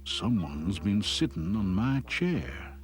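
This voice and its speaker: A man voicing a big, gruff daddy bear from a storybook, drawing out his words slowly and indignantly. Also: on the low side, sing-song, faint music behind. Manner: slow, drawn-out, indignant. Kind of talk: storybook character voice.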